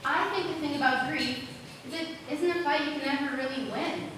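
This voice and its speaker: A young female student actor playing a gentle, wistful girl haunted by loss in a school stage play. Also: high-pitched, a little fast, close to an everyday voice. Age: teenager or young adult. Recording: echoey noisy stage, distant mic.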